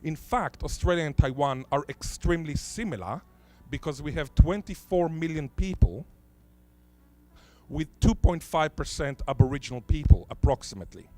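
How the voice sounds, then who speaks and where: A man's voice: a little high, lively intonation, a little mellow. Man lecturing with rhetorical questions. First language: Hebrew. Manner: rhetorical questions, deliberate emphasis. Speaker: conference speaker. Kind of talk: academic conference talk. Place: lecture hall at a conference.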